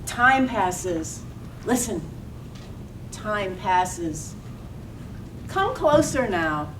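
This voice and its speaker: A woman narrating a poetic play from a script, deliberately lingering on single words. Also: medium pitch, very animated, background noise.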